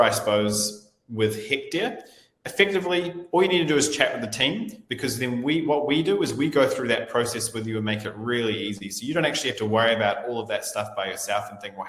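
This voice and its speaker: A man's voice: mid-range voice, a bit bright. A man hosting a webinar, talking loosely and hedging with repeated "I suppose". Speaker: webinar host. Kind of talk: webinar presentation. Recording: echoey room with long reverb.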